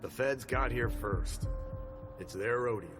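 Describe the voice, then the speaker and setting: A man playing a middle-aged, weary police captain in a video game, authoritative and deliberate. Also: medium pitch, lightly acted. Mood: frank, resigned. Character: weary, seasoned male police captain, gruffly commanding. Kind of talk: video game cutscene voice acting. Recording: music under the voice.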